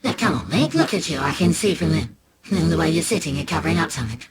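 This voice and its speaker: A man's voice: on the high side, very animated, quick pace, creaky, crowd noise. A British man voicing an emphatic father who repeats himself as he nags, in an oral story. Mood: cheery, suspicious. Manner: emphatic, nagging repetition. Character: exuberant father, cheery then exasperated.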